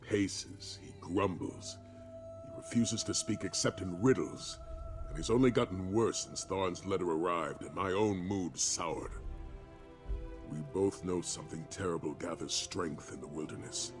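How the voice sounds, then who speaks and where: A deep-voiced, dryly exasperated veteran warrior. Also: male voice, huge pitch swings, exaggerated voice. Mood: reflective, troubled. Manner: measured, dry, formal. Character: weary, gruff male veteran warrior, loyal, dryly exasperated. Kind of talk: video game character dialogue. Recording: music clearly under the voice.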